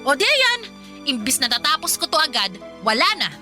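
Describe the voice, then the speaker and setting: High, sharp-voiced snappy Filipina career woman. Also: sing-song, moderate pace, soft background music, full character voice. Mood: irritated, sarcastic. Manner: snappy, sarcastic, scolding. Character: irritable, work-obsessed young career woman, dismissive live-in partner. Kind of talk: Filipino radio drama acting.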